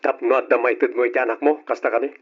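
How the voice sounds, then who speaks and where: A man's voice: mid-range voice, fairly even intonation, racing, breathy edge, natural voice. A man with a Filipino accent playing a stubborn, scornful husband in a radio drama, argumentative in manner. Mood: scornful, irritated. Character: scornful, stubborn husband arguing about money. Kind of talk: old-fashioned Ilocano radio drama acting. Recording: dull lo-fi old radio drama.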